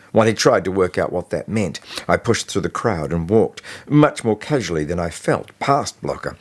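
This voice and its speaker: A man with a low-pitched voice reads his own story. He delivers it as an expressive audiobook narration, acting as storyteller. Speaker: author reading own novel.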